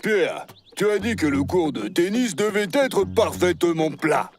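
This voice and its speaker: A man's voice: sits high, very animated, measured pace. A man voicing a questioning, literal-minded creature in a French children's cartoon. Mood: puzzled. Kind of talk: French children's animated series dubbing. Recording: music behind the voice.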